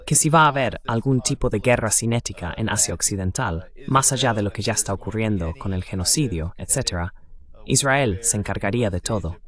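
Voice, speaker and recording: A man's voice: fairly low pitch, lively intonation, a little fast, a bit bright. A man hosting a political panel discussion, heard in a dubbed voice-over. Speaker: host.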